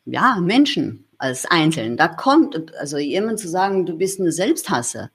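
A German woman giving a thoughtful interview, talking things through with many pauses and restarts. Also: fairly low pitch, sing-song, fairly bright tone, husky. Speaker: interview guest. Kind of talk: reflective conversational interview.

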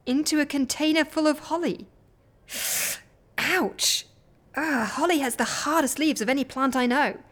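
A groggy woman in an audio comedy, with a fairly high voice. She is reluctant and soft-hearted, delivering her lines in a hesitant, weary, understated way. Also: measured pace. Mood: sympathetic, wincing. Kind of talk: audio comedy fiction acting.

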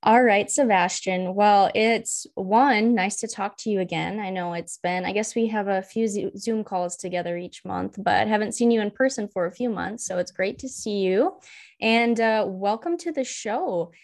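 A woman's voice: mid-range voice, moderate pace, darkish tone. A woman hosting a ranching podcast, talking in a friendly, conversational way with her guest. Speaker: podcast host. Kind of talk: casual podcast interview.

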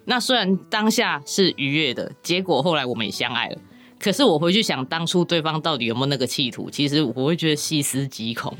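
Woman, Taiwanese Mandarin accent, expressive pitch swings, casual podcast chat, giggly, candid, music in the background. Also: brisk. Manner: self-deprecating, joking, giggly. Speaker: novelist, podcast guest.

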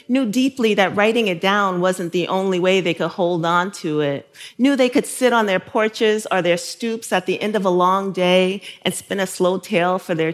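A woman author from South Carolina speaking in a measured, thoughtful way, with soft laughs between phrases. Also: mid-range voice. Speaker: guest author. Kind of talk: reflective interview and talk excerpts.

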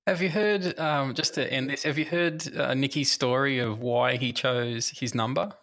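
A man's voice: medium pitch, normal speed, gravelly, a bit bright. A man hosting a podcast in casual panel chat, speaking hesitantly and restarting his phrases. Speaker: podcast host.

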